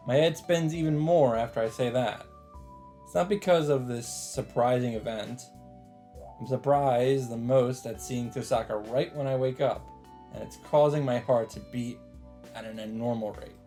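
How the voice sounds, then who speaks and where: A man narrates a visual novel in a mellow, relaxed voice. He reads the story text casually and slips in his own comments, with faint music underneath. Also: mid-range voice, normal speed. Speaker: let's player reading a visual novel aloud.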